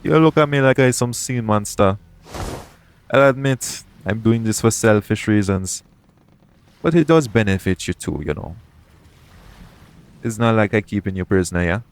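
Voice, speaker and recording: A man playing a shady, smooth-talking pirate captain in an audio roleplay, coaxing and self-assured in a conversational way. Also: fairly low pitch, expressive, moderate pace, pauses often, a little hiss or hum. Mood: persuasive. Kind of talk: second-person audio roleplay acting.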